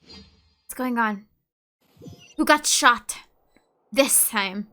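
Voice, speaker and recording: A young woman reacts casually to a video game as a streamer. Her voice is fairly high and bright, joking and playful, with faint game music behind her. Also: very slow. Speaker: gaming streamer. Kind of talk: casual let's play commentary.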